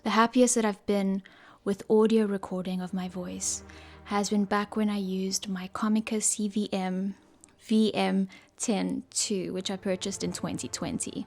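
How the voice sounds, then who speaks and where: A woman talks through a mic review at her desk, chatty and self-correcting as she goes, still getting over an illness. Her pitch sits mid-range but barely moves, and she stays close to the condenser mic in a small home room. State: recovering from illness. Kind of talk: casual product review. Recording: mic tests with varying reverb and noise.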